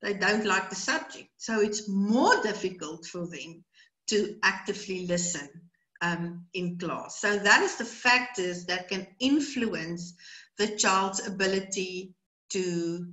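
A woman's voice: medium pitch, expressive, slow pace, pushed and bright, roomy sound, rough recording. A middle-aged South African woman whose first language is Afrikaans, presenting a webinar over a video call and talking in a rambling way with many fillers and repeated words. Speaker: brain training educator. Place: small room indoors. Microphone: video call webcam.

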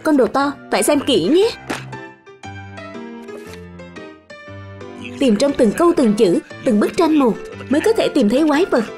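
A woman voicing a patient cartoon father in a children's dub, explaining things in a gently firm way. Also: high-pitched, animated, fairly quick, faint music behind. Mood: encouraging. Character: patient, instructive cartoon father of a small boy.

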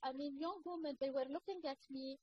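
A woman's voice: high voice, a little fast. A woman in her thirties with a Lebanese accent, speaking earnestly as a panel guest over a video call, with many fillers and restarts. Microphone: laptop microphone on video call. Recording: muffled video-call audio with faint noise.

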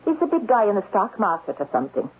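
An actress playing a talkative, blunt, folksy housekeeper in a radio mystery drama. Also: female voice, mid-range voice, big pitch swings, fast, husky. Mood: gossipy. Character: chatty, blunt housekeeper with a gossipy streak. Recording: muffled, noisy old radio broadcast.

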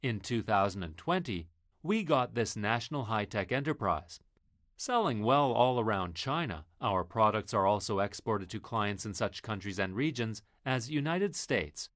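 Man reading a lively factory promo. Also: on the low side, no echo. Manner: reads company facts and lists in a formal presentational tone. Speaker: corporate voice-over narrator. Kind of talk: scripted promotional narration.

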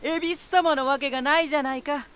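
An actor playing a teasing, talkative lighthouse spirit in an anime-style voice drama, boyish and self-assured with an unsettling edge. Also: male voice, extremely high pitch, steady tone, fast talker, bright, forceful tone. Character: playful male lighthouse spirit, teasing, talkative, eerily battle-hungry.

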